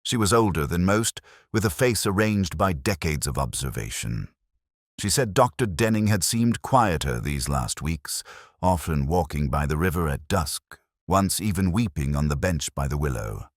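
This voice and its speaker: Man acting older English detective, very deep voice, dry close sound, measured literary narration, brooding, audio drama. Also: very animated, dry recording, full character voice. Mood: brooding, reflective.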